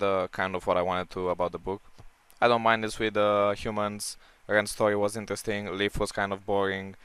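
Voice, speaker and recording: A man with a hoarse, strained voice giving casual, unscripted commentary as he ranks books, rambling and opinionated with many restarts. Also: on the low side, a bit bright, dry recording. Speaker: book-ranking video creator.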